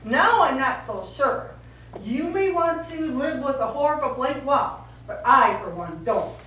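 Actress as stubborn, emphatic wife in a stage play. High voice, distant mic. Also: female voice, animated, unhurried, pushed and bright, very echoey, a little hiss or hum, exaggerated voice. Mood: irritated, insistent. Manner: emphatic, wordy. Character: stubborn, earnest, argumentative wife. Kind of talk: live stage play acting. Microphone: far camera.

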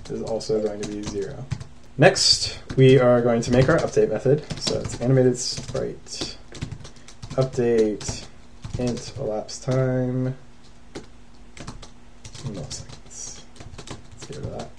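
Young man, unhurried casual tutor, soft mellow tone, faint typing behind. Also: mid-range voice, flat delivery, a little hiss or hum. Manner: casual, thinking aloud with many ums. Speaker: programming tutorial host. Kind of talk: screencast coding tutorial.

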